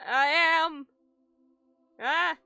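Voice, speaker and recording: A woman livestreaming a game with casual, expressive commentary full of swearing and exaggerated dismay. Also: very high-pitched, very slow, gravelly, dry recording. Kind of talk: casual gaming commentary with read-aloud game text. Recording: game music faintly behind. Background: video game music.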